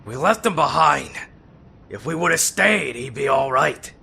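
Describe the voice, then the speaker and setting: Man playing creaky, hotheaded turtle teen. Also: on the high side, animated, a little slow, sharp tone, full character voice. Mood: guilty, bitter. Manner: blunt, brooding. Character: gruff, hotheaded, guilt-ridden teenage turtle brother. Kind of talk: audio drama acting.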